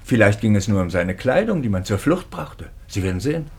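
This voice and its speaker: A man's voice: lowish voice, big pitch swings, a little fast, a little mellow, breathy edge, exaggerated voice. An actor playing an earnest male priest in a 1950s radio drama, who speaks up loyally and emphatically for a friend.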